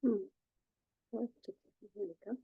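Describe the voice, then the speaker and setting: Slow, hesitant woman presenting. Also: mid-range voice, steady tone, husky, phone-quality sound. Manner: hesitant, halting delivery. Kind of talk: casual introduction on a video call. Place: online video meeting.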